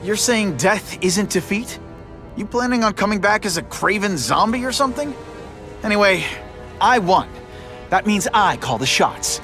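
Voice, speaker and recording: A wisecracking young masked hero with a fairly high voice, trading sarcastic quips while holding firm to his code. Game music sits clearly under the dialogue. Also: expressive, normal speed. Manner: quippy, sarcastic banter.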